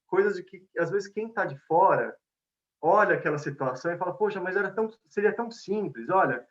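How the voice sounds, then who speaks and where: Mellow-paced man with a São Paulo accent. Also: high voice, expressive. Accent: São Paulo Portuguese. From Bahia, Brazil. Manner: warm, unhurried, many "né" and "tá" tags. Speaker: invited speaker, conflict mediator. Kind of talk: online lecture. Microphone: video call. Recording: dull lo-fi video call audio.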